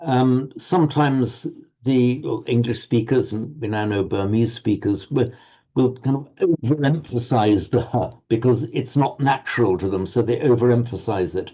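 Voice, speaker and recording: A man teaching Sanskrit pronunciation in an online group lesson, halting and restarting words, encouraging his students. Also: mid-range voice, warm tone, highs cut off.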